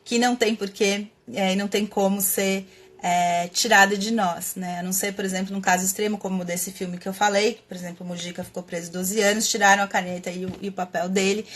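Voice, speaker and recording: A woman's voice: mid-range voice, fairly bright tone. A woman with a Brazilian Portuguese accent hosting a live stream, thinking aloud in a casual, reflective way with many fillers and restarts. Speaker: host of a live stream on writing. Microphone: webcam or computer mic, live stream.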